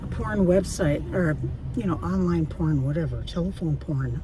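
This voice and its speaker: A woman with a raspy voice, chatting frankly to her viewers while driving, swearing freely. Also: deep voice, moderate pace, recorded from a distance. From Canada. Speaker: vlogger. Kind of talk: casual chat while driving. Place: inside a car. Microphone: phone or camera mounted in the car. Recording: car and road noise behind.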